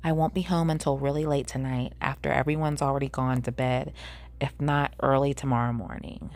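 A woman's voice: rumbling low, steady tone, fairly quick, darkish tone, dry recording. A woman voicing a determined young female student journalist in an audiobook, pointed and with restrained exasperation. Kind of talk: audiobook character voice.

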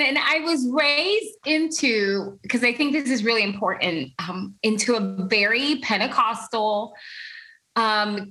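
A woman from Puerto Rico telling her personal story as an interview guest, earnest and animated, laughing now and then. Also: on the high side, rough recording.

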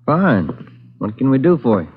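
A man's voice: mid-range voice, sing-song, normal speed, soft background music, close to an everyday voice. An actor playing a weary family-man police detective, easygoing and grumbling, in a 1940s radio drama. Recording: dull 1940s radio broadcast.

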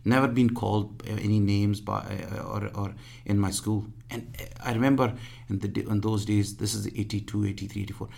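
A man, being interviewed and speaking haltingly with many restarts; English is his third language. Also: on the low side, fairly even intonation, gravelly, darkish tone. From Pakistan. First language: Punjabi or other regional language; Urdu second, English third. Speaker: guest. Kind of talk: casual interview.

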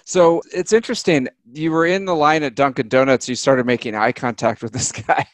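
A man hosting a podcast, asking questions in a relaxed, conversational way and laughing easily. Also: mid-range voice, brisk, no room sound. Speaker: podcast host. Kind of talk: interview questions.

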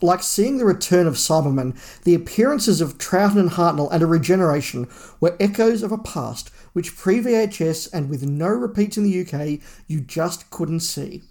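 A man co-hosting a fan podcast, chatting casually and reading listener email in a measured, conversational way. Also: sits high, animated, a little mellow. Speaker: podcast co-host.

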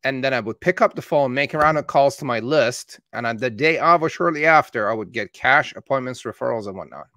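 A man's voice: mid-range voice, brisk, hardly any pauses, no room sound. Matter-of-fact man, dry close sound. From born in Iran, raised in Canada. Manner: steady, matter-of-fact storytelling. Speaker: marketing agency owner. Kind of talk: casual conversation.

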